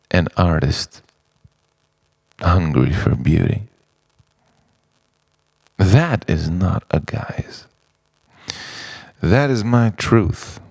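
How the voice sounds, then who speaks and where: A man from Europe performing a confessional spoken-word monologue in a theatrical, deliberate way, with pauses and repetition. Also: very deep voice, vocal fry, a little mellow, dry recording, poor audio. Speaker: writer-performer reciting his own monologue.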